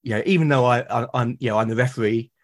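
A man's voice: mid-range voice, fast talker. Male guest, mid-range voice, emphatic and enthusiastic. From Britain. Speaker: podcast guest. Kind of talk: conversational interview.

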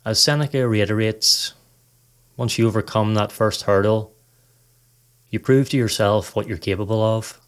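Low-voiced man guiding a meditation. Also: clear, resonant tone. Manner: measured, instructional, reading aloud. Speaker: podcast host and meditation guide. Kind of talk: scripted guided meditation narration.

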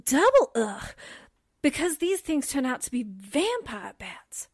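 A woman's voice: high-pitched, very animated, slow, dry recording, studio quality, full character voice. A woman playing a chatty, dramatic young newspaper assistant in a radio drama, with a folksy, gossipy way of telling stories. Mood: eager storytelling. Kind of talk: radio drama comedy acting.

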